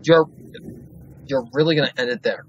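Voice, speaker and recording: A man reacting to a story, excitable and thinking out loud, swearing now and then. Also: mid-range voice, moderate pace, a little hiss or hum. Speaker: reaction video host. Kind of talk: casual reaction commentary. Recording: dull lo-fi home recording.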